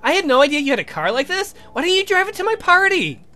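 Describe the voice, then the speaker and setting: A man voicing an eager, playful young woman on a date in a game, with excitable, teasing delivery. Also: extremely high pitch, quick pace, faint music behind, voice pushed up, exaggerated voice. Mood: delighted, teasing.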